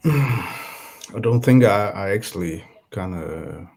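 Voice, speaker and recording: Danish-accented male guest, deep voice, hesitant, conversational. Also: expressive, gravelly, a little mellow, breathy edge. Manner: halting, searching for words. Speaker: guest beat producer. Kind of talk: casual interview chat.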